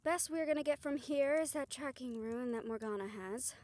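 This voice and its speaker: A woman's voice: high voice, moderate pace, a little mellow, natural voice. A woman acting a good-natured young spellcaster in an audio roleplay, talking in a casual, folksy, wry way. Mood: thoughtful.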